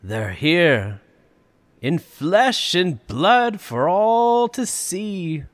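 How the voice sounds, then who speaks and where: A proud old showman of a park owner, his high voice swooping widely as he slowly savours every grand claim, as a man puts him on. He takes his time and sells each line, with music playing softly behind him. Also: very animated, heavily acted. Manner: grand, enthusiastic, lingering delivery. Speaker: fan voice actor. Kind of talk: fan audio drama acting.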